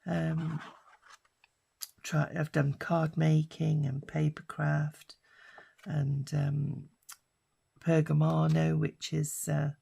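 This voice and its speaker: Husky older British woman chatting. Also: low-pitched, little pitch movement, drawn-out words, long pauses, dark tone. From United Kingdom. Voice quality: husky, raspy. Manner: rambling and chatty, with many fillers. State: hoarse from a lingering cough. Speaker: craft vlogger. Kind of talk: casual chat while crafting.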